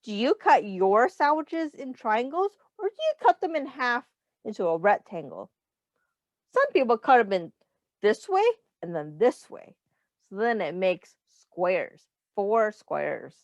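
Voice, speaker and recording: A woman teacher reading a picture book aloud to young children, explaining in simple words, asking questions and pausing for answers. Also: fairly high pitch, big pitch swings, no room sound, lo-fi sound. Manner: addresses children directly, pausing for their answers.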